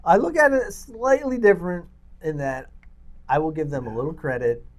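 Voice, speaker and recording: A man's voice: on the high side, normal speed. A man co-hosting a talk show in casual banter, speaking in an emphatic, teasing, argumentative way.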